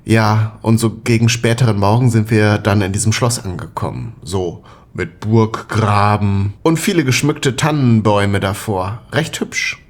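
An actor playing an affectionate, mischievous young man in a naturalistic, conversational audio drama style with a husky voice. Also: lowish voice, measured pace, lightly acted. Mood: relaxed, mildly bored.

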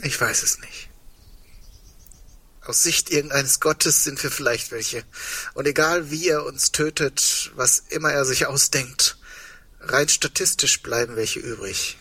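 A husky male voice with a bright, forward edge and a middle pitch. He is a wry, digressive man facing the end with quiet hope. The delivery is reflective and understated, as in an intimate, naturalistic radio play. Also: unhurried, exaggerated voice. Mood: uneasy, wry.

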